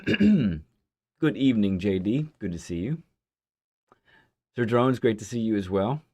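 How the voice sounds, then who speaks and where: A man hosting a casual live stream who greets viewers by name. His throat is hoarse and phlegmy from a lingering cough. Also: lowish voice, sing-song, measured pace. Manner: chatty, reads out viewer names warmly.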